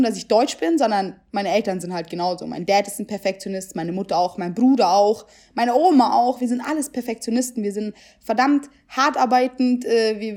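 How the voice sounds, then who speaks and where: A young woman in a casual two-person conversation, talking with lively emphasis and sprinkling English phrases and swearing through her speech. Her voice sits somewhat high. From Germany. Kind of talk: casual chat.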